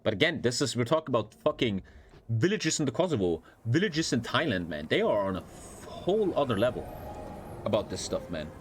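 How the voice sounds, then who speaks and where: A man's voice: medium pitch, very animated, fairly quick, a little hiss or hum. A man with a German accent chatting casually as a live streamer, rambling, blunt and heavily sweary. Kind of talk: casual livestream chat over gameplay. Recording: loud music behind the voice. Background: loud music.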